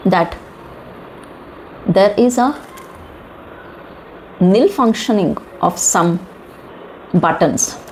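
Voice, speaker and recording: A woman with an Indian accent giving informal news commentary in halting, emphatic short phrases, often posing rhetorical questions. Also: mid-range voice, a little mellow, poor audio. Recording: clear background noise.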